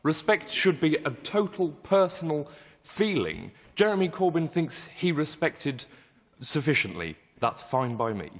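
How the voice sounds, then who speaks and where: A man's voice: a little high, sing-song, a little slow. A man in a studio audience giving his opinion earnestly. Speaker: audience member. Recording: studio hall reverb.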